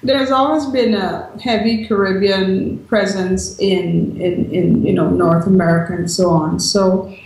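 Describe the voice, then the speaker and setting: A woman's voice: medium pitch, steady tone, unhurried, a little mellow. Thoughtful woman in a very echoey room. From Guyana. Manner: thoughtful, with frequent ums. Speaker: lawyer, interview guest. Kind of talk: conversational interview answers.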